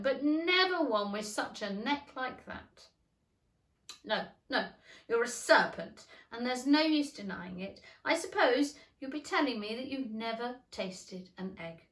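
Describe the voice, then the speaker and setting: An indignant, suspicious pigeon from a storybook, acted out by a woman, scolding and complaining in a shrill high voice. The pitch swoops about in flustered exclamation, and the room echoes around it. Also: fairly bright tone. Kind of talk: storybook character reading. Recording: roomy, echoing room.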